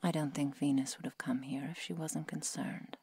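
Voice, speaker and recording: A woman playing a protective, coolly menacing vampire seductress in a hushed, deliberate, coaxing ASMR roleplay whisper. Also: sits low, steady tone, breathy edge, no room sound, exaggerated voice. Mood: concerned.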